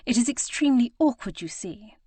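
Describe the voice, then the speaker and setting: A woman voicing a flustered, earnest young gentlewoman who stammers and rushes to explain herself. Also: fairly high pitch, animated, husky, no echo, pitched above the performer's own voice. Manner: breathless, stammering, eager to explain. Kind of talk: audiobook character reading.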